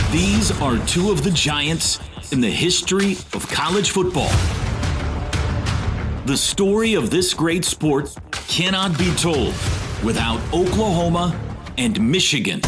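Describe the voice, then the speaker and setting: An American man narrating a scripted radio sports intro in a dramatic, portentous way, pausing between phrases. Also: fairly high pitch. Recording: radio feature under loud music. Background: loud music.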